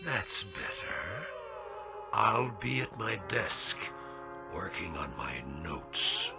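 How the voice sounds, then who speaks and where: Sneering, slow-spoken tyrannical old uncle. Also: male voice, fairly low pitch, huge pitch swings, creaky, loud music behind the voice, heavily acted. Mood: imperious. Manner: imperious, sneering, florid insults. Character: cruel, domineering old uncle barking insults and orders. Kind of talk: radio drama acting.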